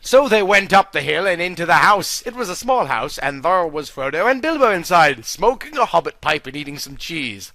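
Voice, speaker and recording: A man reading a silly comic story aloud in a deadpan, mock-naive way. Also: on the high side, normal speed, few pauses.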